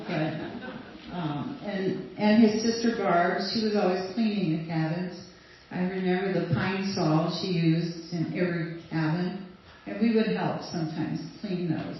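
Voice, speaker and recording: A woman's voice: on the low side, slight background noise. An older woman from Sweden telling casual, rambling stories to an audience, with fillers and light laughs. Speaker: guest speaker sharing memories. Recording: distant, dull, very roomy recording.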